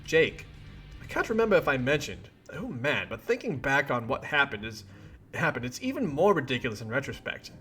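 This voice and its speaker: Man acting a young adventurer, cheerfully oblivious, wordy folksy slang, lively swooping delivery, music behind. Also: medium pitch, brisk. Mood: chatty, oblivious. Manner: wordy, folksy old-fashioned slang, earnest.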